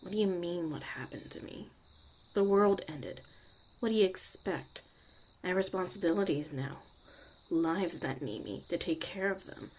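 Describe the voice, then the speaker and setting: A woman playing a jaded, blunt young witch mother in a soft, sardonic ASMR roleplay about surviving the apocalypse. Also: fairly low pitch, normal speed, slight background noise, lightly acted, low-quality recording. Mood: wistful, resentful. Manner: sardonic, casual, swearing, dismissive.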